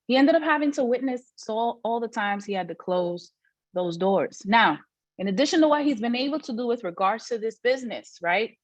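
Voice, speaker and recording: A woman's voice: mid-range voice, no room sound, low-quality recording. A woman hosting a training on a video call, introducing the next speaker with emphatic, preacher-like praise.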